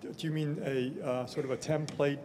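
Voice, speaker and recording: A man moderates a session and puts a question, breaking off and correcting himself. He sounds somewhat high and sits far off in a reverberant hall, with faint background noise. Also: level tone, fairly quick, darkish tone. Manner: hesitant, self-correcting. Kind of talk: asking a question.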